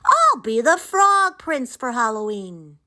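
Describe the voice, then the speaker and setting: Woman putting on an excitable high-pitched little boy frog. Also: slow pace, heavily acted. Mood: boastful, excited. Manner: exclamatory, theatrical, playful. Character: excitable young boy frog, cheeky and eager. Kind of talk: animated children's storybook character voice.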